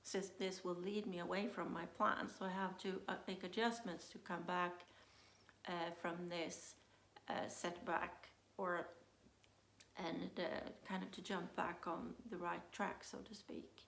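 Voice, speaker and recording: A woman coach with a Swedish accent, speaking English as her second language, talking to camera in a relaxed, unhurried way with many pauses and restarts. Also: fairly low pitch, vocal fry, roomy sound, a little hiss or hum. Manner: unhurried, thinks aloud with many uh fillers and restarts. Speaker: self-leadership coach. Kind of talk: casual talk to camera.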